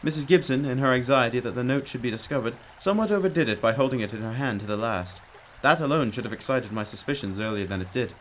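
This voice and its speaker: A man's voice: mid-range voice, animated, fast, hardly any pauses, a bit bright, slight background noise, natural voice. A man playing a brilliant, commanding detective in a radio drama, explaining emphatically with old-fashioned courtesy. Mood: assured, explanatory. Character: brilliant, commanding, self-critical male detective.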